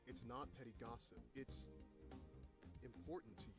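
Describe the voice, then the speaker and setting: A man's voice: mid-range voice, steady tone, moderate pace, close to an everyday voice. A man playing a young male filmmaker friend in a visual novel, casual and playfully theatrical, teasing but caring. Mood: tender, earnest. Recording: music behind the voice. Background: music.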